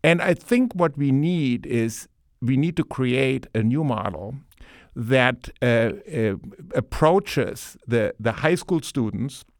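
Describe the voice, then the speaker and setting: Brief: middle-aged man, halting panel speaker, dry close sound. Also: mid-range voice, expressive, dry recording. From Germany. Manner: earnest, thinks aloud with many fillers. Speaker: panel guest, manufacturing advocate. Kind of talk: panel discussion.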